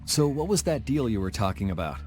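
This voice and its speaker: A man's voice: fairly low pitch, big pitch swings, fairly quick. An actor playing a cool, wry young swordsman with a casual, teasing way in a video game. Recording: music under the voice.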